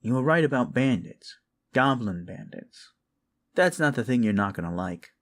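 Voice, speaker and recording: A man's voice: medium pitch, lively intonation, moderate pace, close to an everyday voice. A man voicing a small, sneaky, wisecracking raccoon scout in a fan audio drama, casual and wry. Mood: wary.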